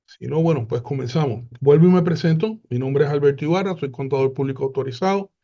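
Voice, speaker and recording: A man, presenting a webinar in an unhurried way with frequent hesitant fillers over a video call. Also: fairly high pitch, mellow, dull audio. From Puerto Rico.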